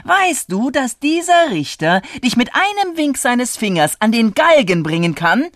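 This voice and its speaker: A narrator as a scornful courtroom onlooker, pitched up. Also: big pitch swings, slow pace, no room sound, studio quality. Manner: sharp, exclamatory.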